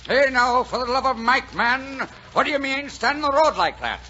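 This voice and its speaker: A gruff, folksy delivery driver with a big heart, his pitch leaping and dipping as he blusters. It is old radio drama, dull in sound with a faint layer of noise. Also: expressive, normal speed, pushed and bright, exaggerated voice. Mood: blustering, exasperated. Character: gruff, kindhearted Irish-American bachelor delivery driver. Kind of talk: 1940s radio drama acting. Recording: dull, noisy 1940s radio recording.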